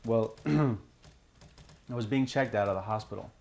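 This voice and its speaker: Gravelly, halting actor as a shaken male witness. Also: on the low side, a little mellow. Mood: uneasy, hesitant. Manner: halting, repeating words. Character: shaken, hesitant man giving a witness account. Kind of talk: acted police interview testimony. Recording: background noise with keyboard typing.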